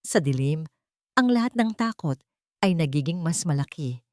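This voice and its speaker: A woman narrator with a Filipino accent tells a dramatic story. Her voice sits fairly low for a woman, and the recording is close and dry, with very little room in it. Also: expressive, normal speed, darkish tone, no room sound.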